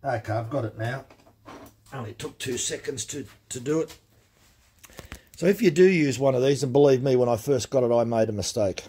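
A man's voice: mid-range voice, normal speed, warm tone, a little hiss or hum. A middle-aged man, demonstrating a tool in a practical, plain-spoken way at his workshop bench. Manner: plain-spoken and practical, with many fillers and restarts. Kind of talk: casual hands-on tool demonstration. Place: indoor workshop at a cluttered workbench. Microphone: camera mic filming his hands up close.

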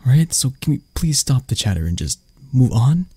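A man playing an arrogant, grumpy CEO with a hidden anxious side in an ASMR roleplay, curt and sardonic, with a breathy, gravelly voice. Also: medium pitch, very animated, fairly quick, dark tone, dry recording. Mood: panicky. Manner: curt, sardonic, stammering when flustered. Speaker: voice actor. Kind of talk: ASMR roleplay audio drama.

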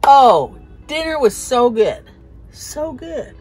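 A woman's voice: high voice, sing-song, takes it slowly, a little mellow. American lady in her 30s, casual trip vlog chat, faint music behind. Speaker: vlog host. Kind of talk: casual conversational trip recap. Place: inside a small pop-up camper.

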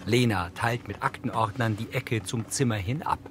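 A man's voice: medium pitch, normal speed, noisy background. A man reading neutral scripted audio description for a TV drama.

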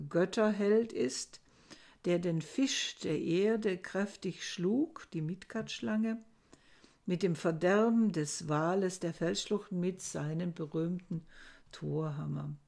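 An older woman giving a recorded lecture from her book-lined study, explaining old verses slowly and thoughtfully, with many hesitations. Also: lowish voice, gravelly, darkish tone. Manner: slow, thoughtful, with frequent hesitations. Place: home study lined with bookshelves. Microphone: webcam at desk.

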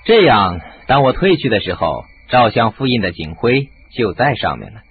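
A man's voice: fairly low pitch, sing-song, moderate pace, phone-quality sound, a little hiss or hum. A man acting in a radio drama as a shrewd, confident sleuth, explaining things in an assured way. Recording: band-limited audio with music.

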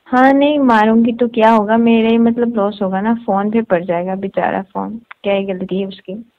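A woman's voice: mid-range voice, fairly even intonation, normal speed. A woman chatting casually on a recorded phone call in a playful, teasing manner.

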